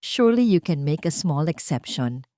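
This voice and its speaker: A female narrator acts out a haughty, entitled woman with a Filipino accent in an audiobook drama. She is smooth and presumptuous, with swinging pitch in a dry recording. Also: sing-song, moderate pace, mellow, no room sound. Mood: haughty, coaxing. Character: haughty, entitled woman rival pushing for favours.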